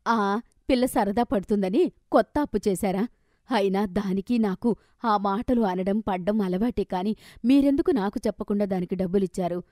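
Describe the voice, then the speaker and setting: A woman's voice: medium pitch, normal speed, mellow, dry recording, lightly acted. Lady playing a suspicious middle aged mom in a cartoon story, naggy questioning and scolding, dry sound. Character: suspicious, stern middle-aged mother and nagging wife.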